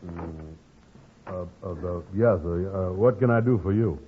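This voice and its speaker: A man acting in 1940s radio comedy, playing a gullible, blustering but soft-hearted fellow who hems and mangles his grammar. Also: low-pitched, faint music behind. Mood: wary, flustered. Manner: slow-witted, hemming, mangling grammar. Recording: tinny, band-limited old radio recording.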